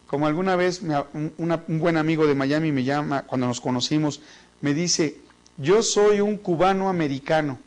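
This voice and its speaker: A man's voice: on the high side, moderate pace, a little mellow. A man with a Mexican accent teaching a business class in a chatty, joking way, often repeating words as he thinks. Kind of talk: online business class lecture.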